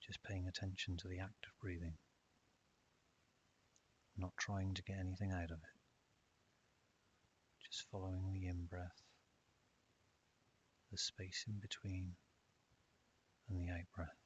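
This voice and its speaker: Man, meditation guide, very even delivery, calm, unhurried pauses, muffled lo-fi audio. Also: sits low. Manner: calm, measured, with long pauses. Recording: dull lo-fi audio with hiss.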